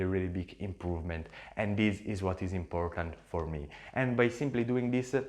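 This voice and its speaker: A man whose first language is Italian, speaking English with an Italian accent in an earnest, repetitive way, talking to camera with frequent fillers and restarts. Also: lowish voice. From Switzerland. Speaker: YouTuber and agency owner. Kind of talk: casual talk to camera.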